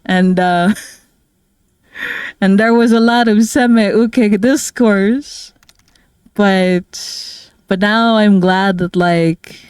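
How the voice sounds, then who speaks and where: A woman's voice: medium pitch, darkish tone, clear, resonant tone, no echo, studio quality. A woman from the Philippines chatting casually on a livestream, rambling and giggling with lots of fillers. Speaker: streamer and artist.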